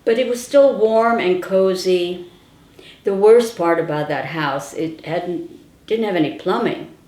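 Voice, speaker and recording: A woman's voice: fairly low pitch, measured pace, a little mellow. An older woman, recalling her childhood as a storyteller with wry asides. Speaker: interviewee. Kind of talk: personal oral-history reminiscence. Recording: roomy indoor echo.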